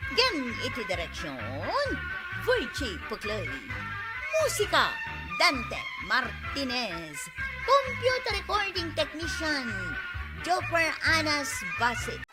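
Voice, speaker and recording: A woman radio announcer with a Filipino accent introduces a drama. Her high voice rises and falls dramatically over loud music. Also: wildly swooping pitch, takes it slowly. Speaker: announcer reading credits.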